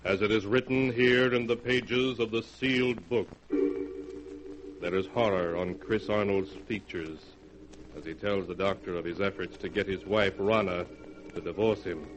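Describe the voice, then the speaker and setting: An actor playing the ominous keeper of a forbidden book, narrating theatrically in 1940s radio horror style. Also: male voice, lowish voice, fairly even intonation, unhurried, highs cut off, natural voice. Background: loud dramatic music.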